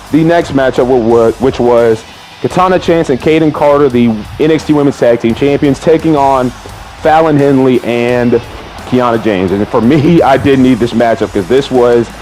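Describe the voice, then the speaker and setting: Slightly hoarse male vlogger, casual and excitable, mid-range voice, faint music underneath. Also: mid-range voice. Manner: excitable, drawn-out exclamations, says "man" often. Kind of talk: casual vlog recap.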